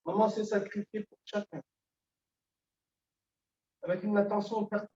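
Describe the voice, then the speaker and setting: A middle-aged man giving a funeral eulogy for his mother, grieving and halting, with repeated words and long pauses. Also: sits high, fairly even intonation, a little mellow. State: grieving, emotionally moved. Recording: echoey hall, distant dull mic.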